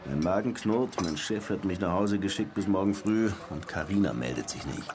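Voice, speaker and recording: An actor playing a brusque, world-weary, sardonic Havana police lieutenant in a radio crime play, terse and colloquial. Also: male voice, sits low, animated, normal speed, crowd noise. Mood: frustrated, bitter. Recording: music behind the voice.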